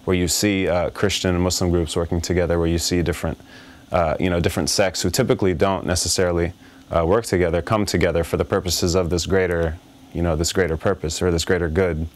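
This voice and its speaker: A man with a very low voice speaks thoughtfully in an interview. He stops and restarts often, filling gaps as he weighs his words. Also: flat delivery, fast. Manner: thoughtful, many fillers and restarts. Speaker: interview guest, rapper. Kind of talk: reflective interview answers.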